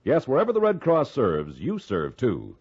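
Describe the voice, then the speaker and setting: A man's voice: medium pitch, huge pitch swings, normal speed, darkish tone, husky. A man reading a scripted 1950s radio public appeal in an emphatic, declamatory announcer's style. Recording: muffled 1950s radio recording.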